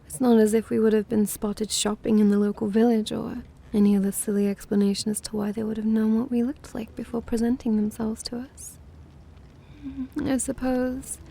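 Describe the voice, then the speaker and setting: A woman's voice: on the high side, creaky, dark tone, husky. A woman voicing a naive, prim and haughty vampire mistress in an ASMR roleplay, with dainty, formal, half-whispered speech.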